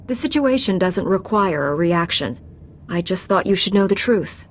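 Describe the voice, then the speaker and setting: A woman's voice: lowish voice, lively intonation, moderate pace, a little hiss or hum, lightly acted. A woman acting a composed, dutiful Jedi Knight and mentor in a video game, speaking earnestly and formally.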